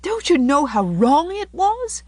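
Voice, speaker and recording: Woman playing a gentle, earnest young schoolmistress, expressive pitch. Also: measured pace, dry recording. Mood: sorrowful. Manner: tender, reproachful, careful correct speech.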